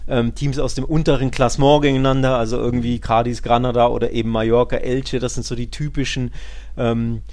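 A man co-hosting a football podcast, discussing the game casually with colloquial, measured analysis. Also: medium pitch, flat delivery, a little mellow.

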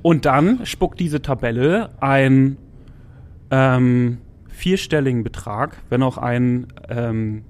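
A man in his forties chats as a guest on a podcast, loose and slangy, rambling through his thoughts with the odd laugh. His voice sits at an ordinary middle pitch. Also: slow, darkish tone. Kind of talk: casual podcast chat.

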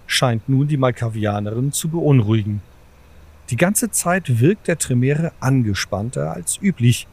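A man's voice: medium pitch, darkish tone. Male storyteller, middle register, scripted drama narration, composed delivery in full formal sentences.

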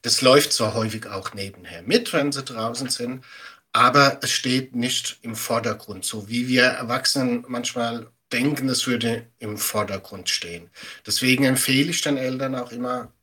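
A middle-aged man speaks as an expert guest in an interview. His voice is bright and forceful with a raspy edge, at an average pitch. He explains conversationally and emphatically, using everyday examples. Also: moderate pace. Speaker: media education expert guest.

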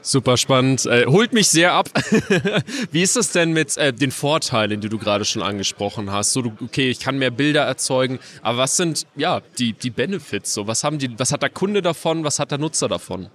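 A man interviewing a guest at a trade fair, curious and asking quick follow-up questions. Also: medium pitch, animated, fairly bright tone.

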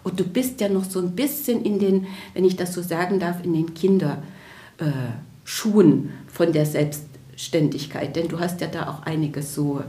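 A woman's voice: lowish voice, normal speed, warm tone, roomy sound. Chatty German-speaking woman with a cold. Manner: chatty, friendly, many fillers and restarts. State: has a cold. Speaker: podcast co-host. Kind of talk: podcast interview.

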